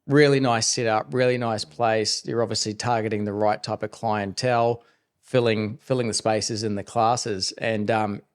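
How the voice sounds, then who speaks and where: A man's voice: lowish voice, steady tone, moderate pace. A man hosting a podcast, asking questions in a chatty, loose way with frequent restarts. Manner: chatty, asks questions in loose rambling bursts. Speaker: podcast host. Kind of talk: casual interview questions.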